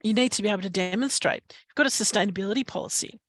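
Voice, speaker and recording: An Australian woman giving advice in an online Q&A, hesitant with many restarts, her voice going hoarse. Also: medium pitch, racing, no echo. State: losing her voice, coughing. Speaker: guest speaker on climate reporting. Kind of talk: online webinar Q&A.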